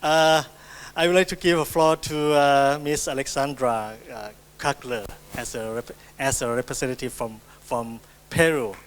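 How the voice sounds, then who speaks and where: A man's voice: mid-range voice, steady tone, slight background noise. A man moderating a conference session hesitantly introduces the next speaker. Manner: hesitant, halting, many fillers.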